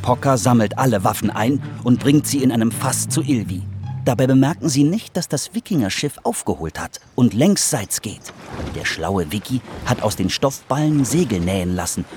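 A man's voice: mid-range voice, animated, normal speed, natural voice. A man narrating a scripted children's adventure story over music. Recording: music under the voice.

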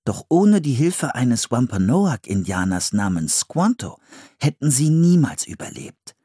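A man's voice: mid-range voice, big pitch swings, unhurried, mellow, breathy edge, dry recording, studio quality, voice pushed up. A man voicing a cautious, bookish boy with glasses in an audiobook, earnest and careful. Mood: reading aloud. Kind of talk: audiobook character voice.

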